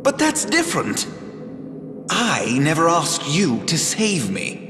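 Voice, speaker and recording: Cheeky talking frog, echoing. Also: male voice, fairly high pitch, huge pitch swings, slow, over music, full character voice. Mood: sly. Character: talking frog, cursed man, cheeky and knowing. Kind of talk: video game voice acting.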